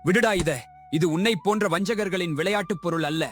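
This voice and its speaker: A male actor plays an honest, anguished young village hero in a folk tale. The voice sits a little high and is earnest and declamatory, over soft music. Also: fairly quick, lightly acted. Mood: indignant. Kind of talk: dramatized moral story acting.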